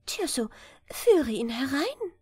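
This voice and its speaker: Storybook princess, young, dainty and curious, high and unhurried , put on by a woman reader. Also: big pitch swings, no room sound, clean studio sound, exaggerated voice. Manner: soft, dainty. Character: delicate young flower princess, refined and curious. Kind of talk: fairy-tale storybook reading.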